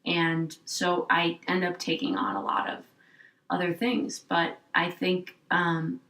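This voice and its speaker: A woman's voice: fairly low pitch, animated, slow, fairly bright tone, recorded from a distance. A young woman in her twenties in a candid interview, thinking aloud in a rambling, reflective way, with lots of "like". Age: 20s. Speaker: guest. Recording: echoey room, a bit off-mic.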